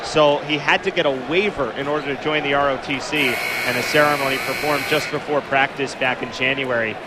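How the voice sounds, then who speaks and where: A man's voice: mid-range voice, fairly even intonation, fairly quick. A young adult man calling live sports play-by-play as the announcer. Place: sports venue commentary position. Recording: very noisy live sports venue broadcast.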